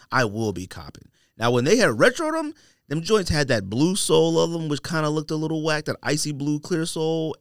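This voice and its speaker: A man's voice: medium pitch, a bit bright, no echo, clean studio sound. An American man co-hosting a casual podcast, talking in slangy, opinionated banter and repeating himself for emphasis. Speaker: podcast co-host. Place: podcast studio.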